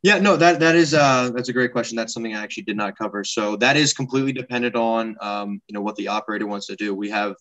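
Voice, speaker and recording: A man's voice: mid-range voice, fairly even intonation, fast talker, few pauses, a bit bright, rough recording. A man in his twenties explaining a product and answering questions as a presenter in an online meeting. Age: 20s. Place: indoor room at home, on a video call. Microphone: wired earbuds on a video call.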